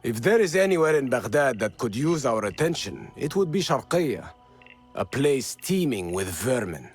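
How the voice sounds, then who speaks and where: A man's voice: medium pitch, big pitch swings, moderate pace, slightly rough. An actor playing a spirited, wry male rebel leader in a video game. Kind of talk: dramatic video game dialogue. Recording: music behind.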